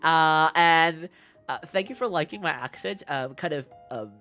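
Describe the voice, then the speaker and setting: A woman streamer with a mixed English accent chatting casually as she answers viewer questions, giggly and halting, often repeating herself. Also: sits low, a bit bright. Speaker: VTuber streamer host. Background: background music.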